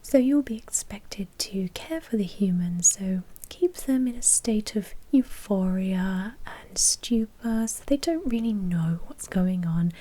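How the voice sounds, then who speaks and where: A woman whispering an ASMR roleplay as a polite, businesslike vampire bank manager, explaining things softly and matter-of-factly with small hesitations. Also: mid-range voice, lively intonation, slow pace, hardly any pauses.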